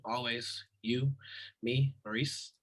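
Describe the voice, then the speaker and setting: A man acting a laconic young guy with a mid-range voice. He is modest and affectionate, speaking plainly and softly understated, as in a script read-through. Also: mid-range voice, fairly even intonation, slow, husky, rough recording. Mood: hesitant, loving.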